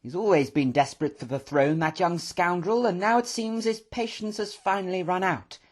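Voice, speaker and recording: High-pitched excitable pompous professor. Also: male voice, normal speed. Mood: excited, indignant. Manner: patronising, melodramatic, exclamatory.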